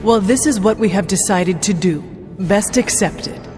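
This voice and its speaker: An actress playing an earnest, resolute young warrior heroine in a video game cutscene, speaking formally in measured phrases. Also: female voice, mid-range voice, darkish tone, close to an everyday voice. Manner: formal, measured, few contractions. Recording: clear music behind voice.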